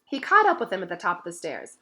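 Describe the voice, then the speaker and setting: A woman reading a storybook aloud as narrator, with expressive delivery. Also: fairly low pitch, fast talker.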